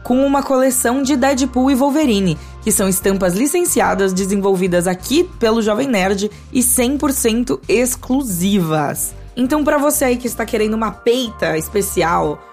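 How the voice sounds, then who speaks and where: A woman from São Paulo, hosting a casual podcast chat, chatty and quick to laugh. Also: a little high, lively intonation, darkish tone, faint music behind. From São Paulo, Brazil. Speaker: podcast host.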